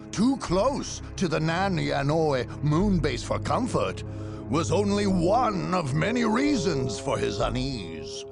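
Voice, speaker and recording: Slow, stately, creaky war chronicler. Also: male voice, fairly high pitch, exaggerated voice. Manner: measured, formal, storyteller-like. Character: grave, stately chronicler of a far-future war. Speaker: narrator. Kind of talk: dramatic scripted narration for a game.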